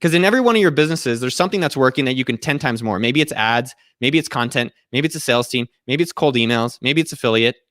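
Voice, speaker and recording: A man in his thirties hosting a casual live Q&A from a small room, giving a profane, energetic pep talk to his viewers. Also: medium pitch, fast talker, few pauses, no room sound. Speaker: host answering viewer questions. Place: small indoor room at home.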